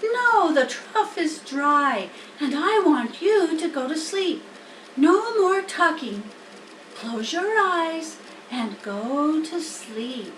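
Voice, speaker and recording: A wise female spider from a storybook, acted by a woman, speaks slowly in a high, motherly voice. She is cool, reassuring and gently firm, heard in a roomy space. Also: sing-song, exaggerated voice. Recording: roomy, distant mic, faint background noise.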